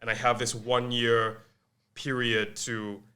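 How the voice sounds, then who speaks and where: A man's voice: medium pitch, level tone, takes it slowly, sharp tone. A man in his twenties with an English accent, chatting as a podcast guest about his career, self-deprecating and full of ums and restarts. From Malaysia. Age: 20s. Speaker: guest, agency co-founder. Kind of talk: casual podcast interview.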